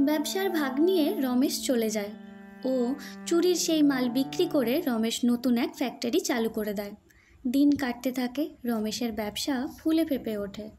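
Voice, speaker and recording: A woman's voice: high voice, normal speed, warm tone. A woman narrating a scripted cartoon story. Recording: music under the voice.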